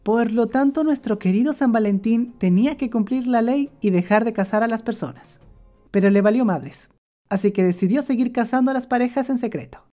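A woman's voice: on the high side, fairly quick, warm tone, faint music behind. A woman narrating a comic cartoon with sarcastic, playful asides. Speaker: animator narrator.

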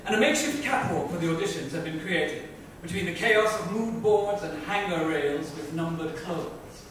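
A woman's voice: on the low side, expressive, moderate pace. A woman giving a theatrical, emphatic dramatic reading of a book in an indoor lounge. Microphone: far microphone. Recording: distant mic, very echoey room, faint noise.

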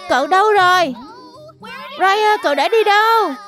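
An excitable little bear cub from a children's cartoon, speaks in a tiny, extremely high voice. The delivery is eager, exclamatory and friendly. Music plays under the dialogue. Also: female voice, normal speed. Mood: calling, worried.

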